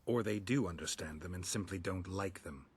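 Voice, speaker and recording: An actor playing a gruff, blunt, world-weary monster hunter in a video game, speaking tersely and dryly. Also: male voice, low voice, normal speed, darkish tone, exaggerated voice. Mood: dry, challenging. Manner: terse, dry, questioning. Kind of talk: video game dialogue acting.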